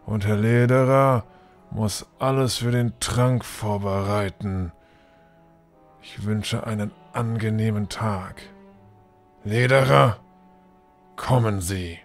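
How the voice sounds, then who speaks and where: A man playing a courteous, generous gentleman of authority in a fantasy audio drama, formal and measured. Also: on the low side, faint music behind.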